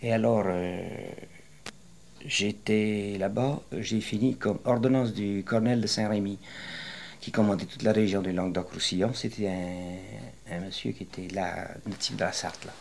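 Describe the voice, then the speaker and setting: An older man in his late sixties with a very deep, low voice recounts his working life for an interviewer. He wanders through his memories slowly and hesitantly, filling gaps with pauses and restarts. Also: fairly even intonation, gravelly, darkish tone, a little hiss or hum. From western France. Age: 60s. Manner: rambling, hesitant storytelling with many pauses. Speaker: retired interviewee. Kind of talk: oral history interview.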